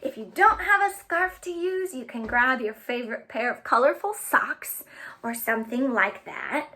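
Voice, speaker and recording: A woman hosting a storytime for children, speaking in an animated way and addressing them directly with simple instructions and phrases. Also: high-pitched, moderate pace. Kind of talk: children's storytime with sing-along chants and play instructions.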